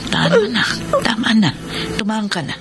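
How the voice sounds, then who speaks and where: A woman's voice: mid-range voice, very animated, a little fast, lightly acted. A Filipino woman playing a caring middle-aged mother in a radio soap drama, earnest and consoling. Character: caring, counseling mother of a grown daughter. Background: dramatic background music.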